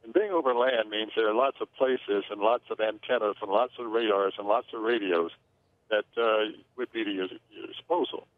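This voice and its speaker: A man speaking as an aviation analyst in a news interview, measured and hesitant with many fillers. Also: on the low side, no room sound. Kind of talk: phone-in expert interview. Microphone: phone line. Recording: tinny phone line, dry.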